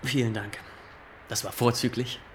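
A man's voice: mid-range voice, level tone, normal speed, slight background noise, lightly acted. An actor in a German radio drama playing a stiff, officious young male inspector who questions people formally and insistently. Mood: formally polite. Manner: formal, pedantic, insistent interrogating.

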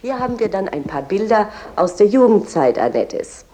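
A woman's voice: medium pitch, sing-song, normal speed, dark tone. A woman as a tour guide, explaining a poet's house in a chatty, informative way. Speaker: museum guide.

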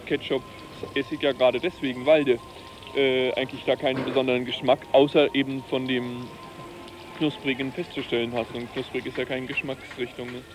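A man's voice: mid-range voice, flat delivery, a little fast. A man chatting casually and tentatively, groping for words as he describes things. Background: clear music.

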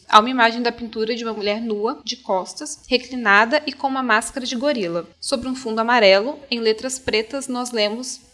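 A woman's voice: a little high, fairly bright tone. A woman with a Brazilian accent presenting a podcast in scripted narration, articulate and opinionated.

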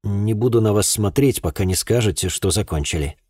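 Male reader as troubled policeman, restrained, earnest, low, mellow and dark, audiobook dialogue. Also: expressive, fairly quick, breathy edge, dry recording, clean studio sound. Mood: reassuring.

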